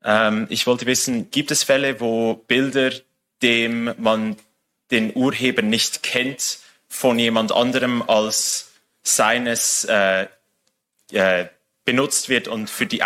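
A man in the audience asking a question haltingly, searching for words. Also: on the low side, bright, forceful tone.

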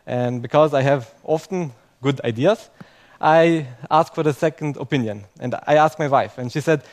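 Young non-native male tech speaker, self-mocking, fairly high voice, tags lines with "okay?". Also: normal speed, a little mellow. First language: not English. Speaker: conference speaker. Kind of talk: conference talk to a live audience.

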